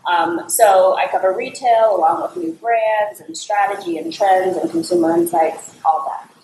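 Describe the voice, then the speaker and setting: A woman's voice: medium pitch, normal speed, dark tone, roomy sound, slight background noise, low-quality recording. A woman working as a retail editor, describing her work casually on a panel. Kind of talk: panel discussion.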